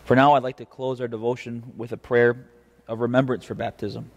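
A young American man preaching a devotion as a pastor, in a measured, earnest and deliberate way. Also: medium pitch, little pitch movement. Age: young adult. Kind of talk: devotional preaching with scripture reading. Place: indoor church chancel. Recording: reverberant church, mic at a distance.

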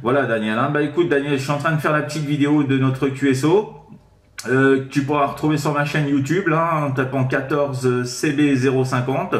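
A man of medium pitch talks casually as a CB radio operator. He repeats himself and tacks small tag words onto his phrases. The room around his voice echoes noticeably. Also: steady tone, fairly quick. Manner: repetitive, with frequent tag words. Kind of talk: casual radio contact chat. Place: indoors at home, sixth-floor flat.

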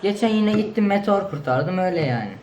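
A woman's voice: mid-range voice, lively intonation, quick pace, natural voice. A woman playing a goofy, bumbling male superhero in a children's comedy skit, rambling and offhand. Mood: offhand, awkward. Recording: echoey room with clear background noise.

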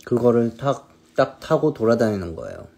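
A man in his thirties chatting casually on a phone live stream, answering viewers' questions in a relaxed, easygoing way. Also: mid-range voice, normal speed, creaky. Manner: relaxed, answers viewers' questions, drifts between snacks and hobbies. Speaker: singer hosting a live stream. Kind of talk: casual live-stream chat reading comments.

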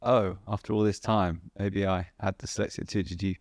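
A man's voice: sits low, level tone, moderate pace, no echo, clean studio sound. A young man hosting a podcast, asking casual, playful questions and retelling his guest's story back to him. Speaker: podcast host. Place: bright living-room studio. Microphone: boom-mounted podcast mic.

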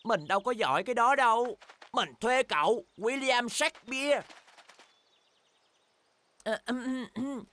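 A man's voice: extremely high pitch, unhurried, pauses often, fairly bright tone. A man voicing a cheerful, boastful young bear in a language children's cartoon dub, exclaiming with enthusiasm. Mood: eager.

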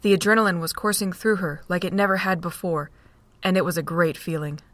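A woman's voice: lowish voice, fairly even intonation, fairly quick, dry recording. A woman narrating a fan-made audio drama, reading steadily.

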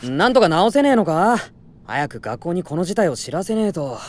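A man playing a brave, upbeat teenage boy space cadet with rough, hot-blooded speech in a voice drama. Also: high voice, sing-song, moderate pace, slight background noise. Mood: easygoing. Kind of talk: Japanese voice drama acting.

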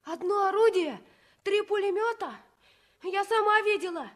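Earnest, very high-voiced little girl. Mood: earnest reporting. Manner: pleading, slow and emotional. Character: earnest, anxious young girl searching for a friend. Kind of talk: 1960s Soviet radio play acting.

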